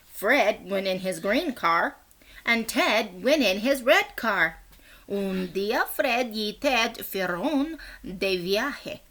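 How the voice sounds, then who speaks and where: A woman with a bright voice reads a picture book aloud to young children as their teacher. Her pace is slow and deliberate, and her pitch moves in an expressive, animated way. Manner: deliberate, expressive, acts out short quotes; encouraging asides and questions to young listeners. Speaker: first grade teacher reading aloud.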